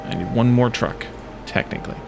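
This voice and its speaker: A man's voice: mid-range voice, a little fast, creaky, noisy background. A man giving casual video game commentary as a let's play host, thinking aloud and musing to himself in short fragments. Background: game music.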